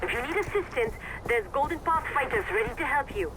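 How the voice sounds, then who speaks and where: A woman's voice: high-pitched, expressive, brisk, heavy background noise. An actress playing a hard-line young female rebel commander in a video game, blunt and commanding, scornful of old traditions. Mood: brisk. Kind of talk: video game cutscene dialogue.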